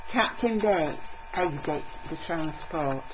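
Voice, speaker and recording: A woman's voice: low-pitched, expressive, takes it slowly, music in the background. A woman reading scripted narration as the narrator of an amateur audio drama. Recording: dull, very noisy homemade recording.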